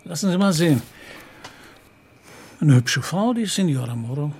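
A courteous, inquisitive police commissario in a radio play. His natural, conversational voice sits a little high, with faint background noise around him. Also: lively intonation, moderate pace, a little mellow. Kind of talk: naturalistic radio drama dialogue.

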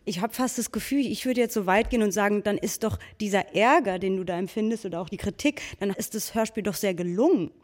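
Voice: mid-range voice, fast, hardly any pauses. A panel participant arguing spontaneously and insistently, repeating phrases to hold the floor. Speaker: discussion participant.

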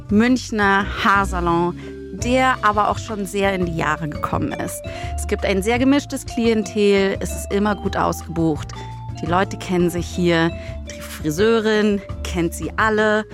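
Woman chattily pitching a story. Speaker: co-host pitching a crime audio drama story.

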